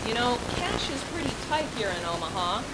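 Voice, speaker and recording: A woman's voice: a little high, sing-song, brisk. A woman reading out joke birthday messages to guests at a home party. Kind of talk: comic reading aloud at a party. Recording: very noisy, echoey amateur home video.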